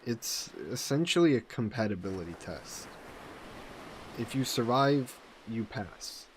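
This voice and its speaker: A man playing a possessive sea monster in a roleplay audio drama, speaking in measured, formal phrases that are coolly threatening and flirty. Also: mid-range voice, animated, slight background noise, close to an everyday voice. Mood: flirty menace. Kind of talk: scripted roleplay audio drama, intimate monologue.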